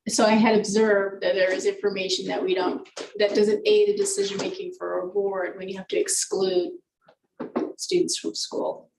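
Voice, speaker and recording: A woman on a school board, soft-spoken and hesitant, asking questions at a meeting. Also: mid-range voice, flat delivery, normal speed, creaky. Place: large meeting room. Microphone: desk microphone from afar. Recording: echoey room, distant mic, faint hiss.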